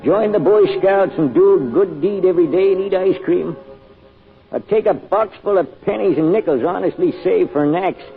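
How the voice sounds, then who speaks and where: An actor playing a gentle, reflective old grandfather in a folksy, musing way, in an old-time radio drama. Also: high voice, normal speed, creaky. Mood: wistful. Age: older. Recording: tinny band-limited old radio, music behind.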